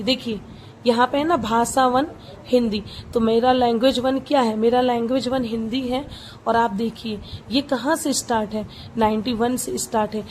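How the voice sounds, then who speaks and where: High-voiced woman explaining an exam form. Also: a little mellow, a little hiss or hum, poor audio. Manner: repeats points, talks the listener through steps. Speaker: exam prep teacher.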